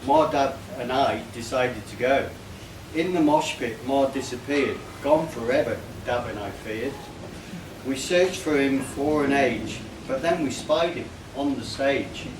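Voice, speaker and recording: Unhurried man reciting in an echoey room. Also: mid-range voice, far from the mic. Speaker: reader of a birthday tribute poem. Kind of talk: reading a comic rhyming poem aloud to a live gathering. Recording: echoey, noisy room recorded from afar.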